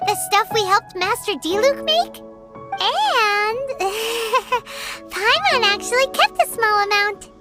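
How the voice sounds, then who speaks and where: A woman voicing a tiny, excitable, childlike fairy companion with a squeaky, perky delivery in an anime game. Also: takes it slowly, over loud music, heavily acted. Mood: eager, explanatory. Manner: refers to self in third person, perky.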